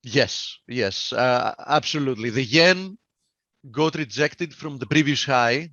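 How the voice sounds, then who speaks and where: A man's voice: medium pitch, unhurried, bright, forceful tone, no room sound. Greek-accented man analyzing charts, lo-fi audio. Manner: hesitant, many fillers, reads data aloud. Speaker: market analyst. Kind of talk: live webinar market analysis. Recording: dull lo-fi online call audio.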